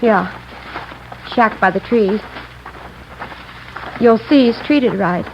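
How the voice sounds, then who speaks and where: A woman's voice: medium pitch, slow pace. An actress playing a subdued, worried sister of a wanted man in an old radio drama, in short hesitant phrases. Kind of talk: 1950s radio drama acting. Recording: dull, noisy vintage radio recording.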